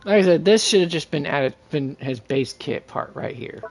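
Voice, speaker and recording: A man's voice: on the high side, lively intonation, normal speed, gravelly, soft background music. A man doing casual game livestream commentary, rambling and thinking aloud to his viewers. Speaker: game streamer.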